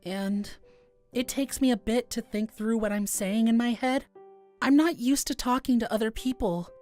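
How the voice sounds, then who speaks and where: A woman voicing a shy, gentle young male forest dweller in a visual novel, speaking in a halting, earnest way. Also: fairly high pitch, faint music behind, lightly acted. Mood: shy, hesitant. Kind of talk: visual novel character acting.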